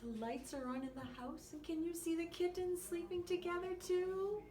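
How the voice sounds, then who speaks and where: A woman's voice: very high-pitched, fairly even intonation, moderate pace, dark tone. A woman hosting storytime for young children, speaking in a sing-song way with simple questions for her small listeners. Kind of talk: read-aloud storytime and craft lesson for young children. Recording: echoey room, distant mic, faint noise.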